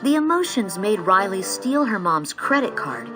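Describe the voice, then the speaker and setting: A woman reading scripted storybook narration in an expressive, measured storytelling style. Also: mid-range voice. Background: music.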